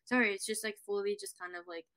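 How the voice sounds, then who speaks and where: A woman's voice: mid-range voice, fairly even intonation, quick pace, a bit bright. A young woman from the United States, a podcast guest chatting casually and leaning heavily on fillers. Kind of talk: casual interview chat.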